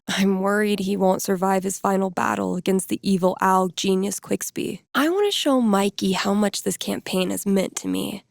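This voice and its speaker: A woman's voice: medium pitch, gravelly, dry recording, close to an everyday voice. An actress playing a wry, slangy young woman who DJs and runs tabletop games, in a video game. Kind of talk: video game character inner monologue.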